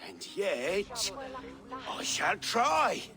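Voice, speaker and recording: A man's voice: sits high, wildly swooping pitch, drawn-out words, over music, heavily acted. An actor playing a proud, mocking deposed king in a video game, with a theatrical, mock-pious delivery. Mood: mocking defiance. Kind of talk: dramatic video game acting.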